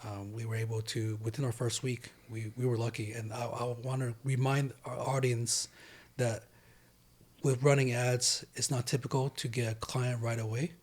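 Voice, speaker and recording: A man from Taiwan, a law firm owner guesting on a podcast in a studio, talking in a steady, plain-spoken way. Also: mid-range voice, moderate pace. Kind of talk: casual interview chat.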